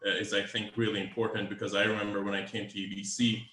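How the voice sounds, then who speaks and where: A man's voice: on the low side, little pitch movement, quick pace, sharp tone, reverberant. A man whose first language is Farsi, speaking hesitantly on a video call panel with many fillers and restarts.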